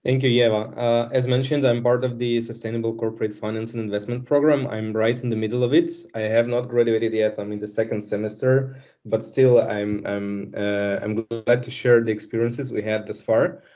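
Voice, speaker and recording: Mellow-voiced man with dull lo-fi sound. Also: mid-range voice, steady tone, moderate pace. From Belarus. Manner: fluent, articulate, businesslike. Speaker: student speaker. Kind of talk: personal testimonial.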